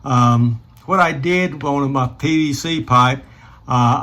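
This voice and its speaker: A man hosting a casual hands-on DIY tutorial, explaining step by step in an unhurried way. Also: mid-range voice, a bit bright. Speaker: DIY hobbyist host.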